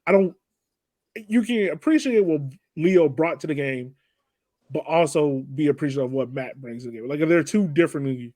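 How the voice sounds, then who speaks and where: A man's voice: on the high side, moderate pace, mellow, no echo. A man chatting as a podcast panelist about a soccer game, conceding points and then reasoning out his own view. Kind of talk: casual post-game sports discussion.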